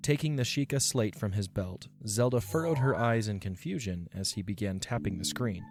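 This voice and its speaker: Man narrating a story, low voice. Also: moderate pace. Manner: even, descriptive reading. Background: music.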